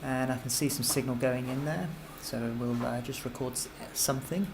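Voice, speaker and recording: Man, music technology teacher, informal tutorial, step-by-step explanation, mid-pitched, noisy computer microphone. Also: steady tone, moderate pace, creaky. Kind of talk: software tutorial narration.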